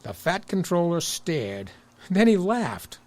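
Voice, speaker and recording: Animated male storybook narrator. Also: high-pitched, slow pace.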